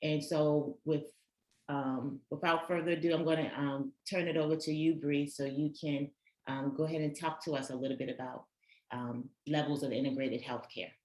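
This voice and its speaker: A woman's voice: sits low, steady tone, moderate pace, darkish tone, roomy sound, poor audio. A woman co-chairing and moderating an online learning session, speaking hesitantly with many fillers. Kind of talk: hosting a virtual learning session.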